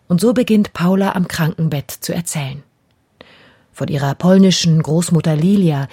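A woman presents a radio play as a broadcast announcer. Her voice is mellow and husky, a little low in pitch. She reads the script in a composed, formal manner. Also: dry recording, studio quality. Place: dry broadcast studio.

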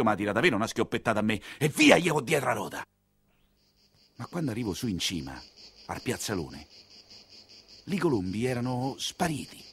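A man's voice: on the low side, slightly rough, full character voice. An actor playing an earthy, talkative 19th-century coachman with a Roman accent, telling his tale in a colloquial theatrical dialect monologue, exclaiming and confiding. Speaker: stage and radio actor.

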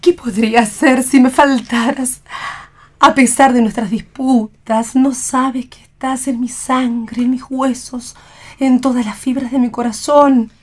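Una actriz interpreta a una madre tierna y devota, con tono lírico y declamatorio de teatro leído por radio. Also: female voice, high voice, steady tone, unhurried. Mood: anguished devotion. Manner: lyrical, declamatory. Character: tender, devoted mother in Pierrot costume. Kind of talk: theatrical radio play reading.

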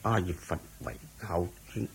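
An earnest, ailing monk in a Cantonese radio drama. He speaks very slowly in a lowish voice with lively rises and falls, anxious and deferential, as one prone to coughing. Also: vocal fry, husky, exaggerated voice. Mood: considerate, subdued. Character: earnest, anxious, considerate sickly male monk disciple.

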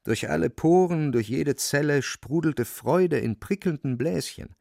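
A man narrating a novel as dramatic literary storytelling, expressive and vivid. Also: on the high side, measured pace, vocal fry, no room sound, studio quality.

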